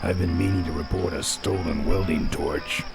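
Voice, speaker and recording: An actor playing a tough, wry biker in a video game, speaking in a dry, deadpan and faintly menacing way. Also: male voice, deep voice, moderate pace, vocal fry. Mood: dry, insistent. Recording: loud music behind, from game livestream.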